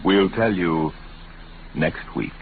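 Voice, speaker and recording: A man narrating a 1950s radio drama in a grave, deliberate, portentous style. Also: deep voice, animated. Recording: tinny old radio recording, loud music. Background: loud music.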